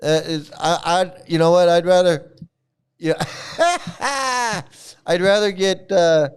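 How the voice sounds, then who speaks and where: Sarcastic commentator man, clear voice. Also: sits high, slow pace. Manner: sarcastic, laughs often, repeats himself. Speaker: YouTube commentator. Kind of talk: mocking rant over video clips.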